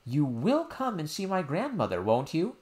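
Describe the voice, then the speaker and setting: A man's voice: fairly high pitch, wildly swooping pitch, moderate pace, darkish tone, pitched above the performer's own voice. A man voicing an upset young girl princess, earnest and proud, in a storybook reading. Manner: earnest, pleading. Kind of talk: audiobook character voice.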